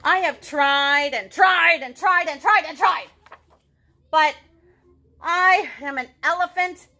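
A woman's voice: very high-pitched, slow pace, bright, forceful tone, voice pushed up, heavily acted. A woman voicing an earnest, gloomy male elephant from a storybook, speaking emphatically and dramatically. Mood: frustrated.

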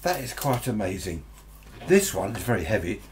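Older English man, low rambling voice. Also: very animated, moderate pace. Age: 60s or older. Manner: rambling, chatty, muses aloud to himself. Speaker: radio hobbyist presenter. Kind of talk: casual unscripted product review. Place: small cluttered indoor radio workshop. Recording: noticeable background noise with hum.

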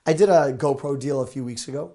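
A man in his thirties, slightly hoarse, pitching bargains to camera with upbeat, salesy energy. He talks on a lapel mic in a small studio lined with gadgets. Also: quick pace. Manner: energetic, salesy, gesturing with products. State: a little hoarse. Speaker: deal show host.

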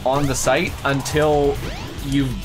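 A man's voice: medium pitch, little pitch movement, slow pace. A young man chatting casually as co-host of a gaming video, playful and dryly bantering. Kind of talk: casual chat over gameplay. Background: video game music.

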